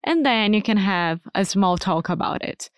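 A woman's voice: medium pitch, expressive, moderate pace, clear, resonant tone, no echo, studio quality. A woman from Rio de Janeiro with a Brazilian Portuguese accent, a native Portuguese speaker co-hosting a podcast in an emphatic, upbeat way. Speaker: podcast co-host. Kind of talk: casual educational podcast chat.

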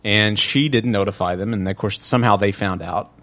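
A man's voice: fairly low pitch, fairly quick, fairly bright tone. A man hosting a casual podcast, talking over the news in a blunt, profane way. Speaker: podcast host. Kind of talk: casual crude panel chat about news.

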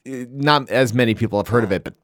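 A man co-hosting a podcast from a studio, jokey and animated, laughing easily as he talks. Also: mid-range voice, fast, dry recording. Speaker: podcast co-host. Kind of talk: casual conversational podcast.

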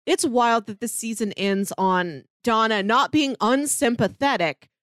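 A woman's voice: on the high side, unhurried, dry recording. Opinionated woman, dry close sound. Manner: animated, opinionated, fillers like "like". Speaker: podcast co-host. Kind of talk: casual TV recap chat.

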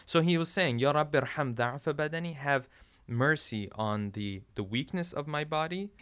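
A man's voice: mid-range voice, a bit bright. Man teaching scripture with frequent tag questions. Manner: recites scripture verses fluently. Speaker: religious teacher. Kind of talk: explanatory religious lecture.

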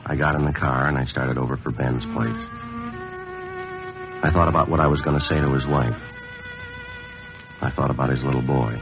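An actor playing a stoic, grieving police sergeant in an old radio drama, speaking in a terse, understated, deadpan way. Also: male voice, very deep voice, normal speed, pauses often. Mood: somber. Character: stoic male police sergeant, grieving his longtime partner. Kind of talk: 1950s radio acting, clipped scripted narration. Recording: muffled old radio broadcast, music behind.

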